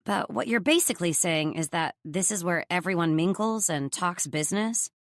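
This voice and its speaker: A woman's voice: fairly low pitch, normal speed, no room sound, clean studio sound, close to an everyday voice. A woman voicing a polite, inquisitive young newcomer in a romance audiobook, apologetic and questioning.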